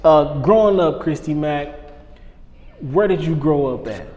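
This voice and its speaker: A man hosting a casual podcast interview, hyped and slangy, calling out to his listeners and backing his guest with steady mm-hmms. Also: a little high, measured pace. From Los Angeles. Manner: hyped, slangy, lots of listener call-outs and backchannel mm-hmms. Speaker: podcast host. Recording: long room reverb, faint background noise.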